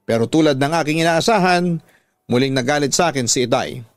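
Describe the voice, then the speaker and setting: A man's voice: mid-range voice, fairly quick, dry recording. A man with a Filipino accent narrating a scripted dramatic story for radio.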